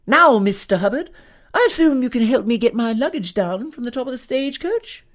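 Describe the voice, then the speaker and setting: A man playing an eager, naive gentleman newcomer from New York in a comic western audio drama, with flowery, formal, exclamatory speech. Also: very high voice, expressive, a little fast. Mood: pompous, brisk.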